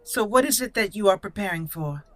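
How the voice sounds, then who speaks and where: A woman's voice: medium pitch, expressive, a little fast, fairly bright tone, soft background music, close to an everyday voice. A woman voicing a proud, formal young noblewoman mage in a roleplayed fantasy game, with a stately, haughty manner. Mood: formal, wary.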